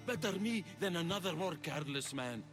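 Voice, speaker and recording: A man's voice: on the high side, expressive, brisk, lightly acted. An actor playing a cornered, desperate older man in a video game, evasive and defensive. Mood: desperate, remorseful. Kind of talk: video game cutscene acting. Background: background music.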